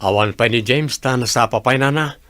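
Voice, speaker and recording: A man's voice: medium pitch, normal speed, a bit bright, dry recording, natural voice. A man playing a casual, helpful young construction worker in an Ilocano comedy radio drama, with a Filipino accent.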